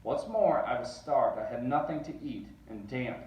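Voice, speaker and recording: Guy reading a rhyming kids book out loud, expressive, echoey room, bit far from the mic. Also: medium pitch, normal speed. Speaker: storybook reader. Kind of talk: expressive rhyming read-aloud for children. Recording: roomy, distant, dull sound.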